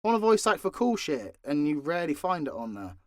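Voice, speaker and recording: A man's voice: on the high side, very animated, quick pace, a little mellow, dry recording. Sweary British man, dry close recording. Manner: sweary, blunt, informal. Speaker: voice actor and channel creator answering fan questions. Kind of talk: casual unscripted Q&A chat.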